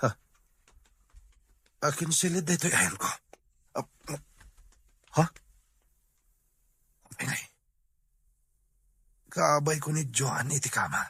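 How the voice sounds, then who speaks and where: A man playing a tipsy, easily rattled young man in an audio drama, with a husky voice. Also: fairly high pitch, expressive, slow pace, pauses often, pushed and bright, exaggerated voice. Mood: confused, alarmed.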